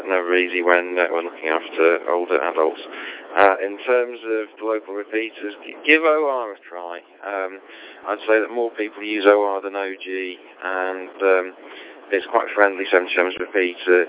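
Male ham operator, deep monotone, tinny radio-link sound with faint hiss, rambling technical chat. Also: normal speed. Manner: technical, rambling with many fillers.

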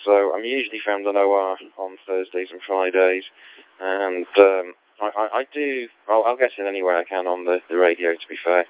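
A man's voice: low voice, steady tone, normal speed, clear, resonant tone. A man chatting over amateur radio, technical and rambling, full of fillers. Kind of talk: casual radio chat. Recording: tinny radio link with faint noise.

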